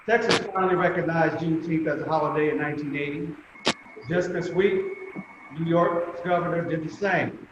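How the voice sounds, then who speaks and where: A man giving prepared remarks as a museum representative at a commemoration, speaking in a measured, emphatic way with deliberate pauses. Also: on the high side. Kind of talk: prepared speech at a virtual commemoration. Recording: very echoey reverberant room, muffled, faint noise.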